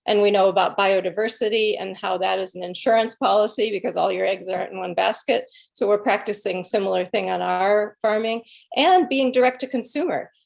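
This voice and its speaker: A woman's voice: mid-range voice, creaky. A middle-aged woman, a guest speaker telling rambling, anecdotal stories and chuckling between phrases. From Illinois, USA. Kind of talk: conversational storytelling.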